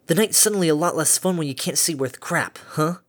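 A woman's voice: low voice, fairly quick, voice pushed up. A woman voicing a cocky, foul-mouthed female pony adventurer with a sarcastic edge. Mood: taunting.

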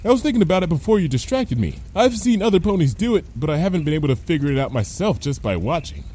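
A man plays a carefree, reckless female unicorn from a storybook. The voice is high and lilting, breezy and chirpy, as if nothing could worry this pony. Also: big pitch swings, quick pace, mellow, a little hiss or hum. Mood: casual. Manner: breezy, unworried, musing.

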